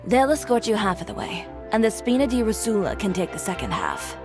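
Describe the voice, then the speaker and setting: A woman's voice: medium pitch, huge pitch swings, a little fast, music in the background. A woman voicing a composed, self-assured female fashion designer who talks in a cool, dryly teasing way.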